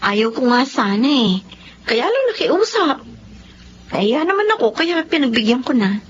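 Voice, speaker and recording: An actress in a Filipino radio drama playing a young, soft-hearted girlfriend hurt by a neglectful man. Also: fairly high pitch, big pitch swings, normal speed, dull audio, background noise. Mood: reluctant, resigned.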